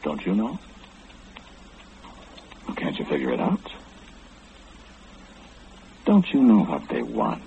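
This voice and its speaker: A man's voice: a bass voice, huge pitch swings, slow, gravelly, pauses often, dark tone, full character voice. An actor in an old-time radio horror play, playing an omniscient, ominous man who confides insistently and questioningly in the listener. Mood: ominous, confiding. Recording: muffled, hissy old radio recording.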